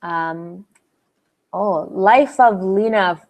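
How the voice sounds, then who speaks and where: A woman's voice: mid-range voice, extremely slow, a little mellow. Bubbly, giggly young woman streaming live. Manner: bubbly and chatty, giggles often, many fillers and restarts. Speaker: YouTuber hosting a live Q&A. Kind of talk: casual live-stream chat answering viewer comments.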